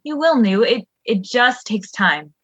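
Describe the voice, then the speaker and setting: A gentle female mentor from a space radio drama, played by a woman, with a fairly high voice. She is encouraging and halting, easily flustered. The sound is very dry and a little dull. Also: lively intonation, breathy edge, no room sound, heavily acted. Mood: gentle, reassuring. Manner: halting, reassuring. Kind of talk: audio drama acting.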